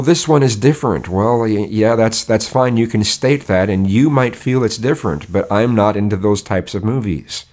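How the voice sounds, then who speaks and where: Hoarse middle-aged man venting opinions. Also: on the low side. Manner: rambling, frequent restarts and rhetorical questions. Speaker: vlogger. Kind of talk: casual opinion monologue to camera. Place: indoor studio with colored backdrop.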